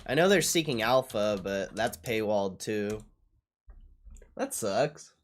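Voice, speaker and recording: Young male streamer, unhurried delivery with big pitch swings, casual and slangy, repeats himself, swears freely. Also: lively intonation. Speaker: livestreamer. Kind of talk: casual livestream chat, reacting to what is on screen.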